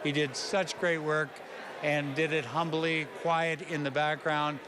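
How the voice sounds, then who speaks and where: A man's voice: on the high side, little pitch movement, unhurried, a crowd in the background. An older man giving a spontaneous remembrance, repeating phrases as he searches for words. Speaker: interviewee paying tribute. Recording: outdoor recording with clear urban noise.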